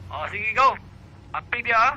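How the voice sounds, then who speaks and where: A man's voice: high voice, expressive, unhurried, bright, forceful tone, full character voice. An actor playing a concerned male drinking buddy on a telephone in a radio drama, with a Filipino accent. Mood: concerned, casual. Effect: telephone filter. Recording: muffled, noisy phone call.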